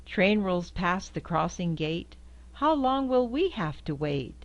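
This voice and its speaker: A woman's voice: big pitch swings, takes it slowly, lo-fi sound. An older woman reading a children's picture book aloud in a sing-song way, recorded indoors on a camera in front of her. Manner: sing-song, squeaks high on the horn sounds. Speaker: storybook reader. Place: indoors before a quilt backdrop.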